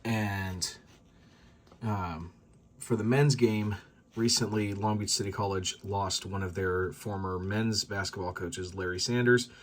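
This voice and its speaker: A man's voice: fairly low pitch, fairly even intonation, unhurried. A man talking casually to his vlog audience, explaining things plainly. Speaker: vlogger and sports announcer.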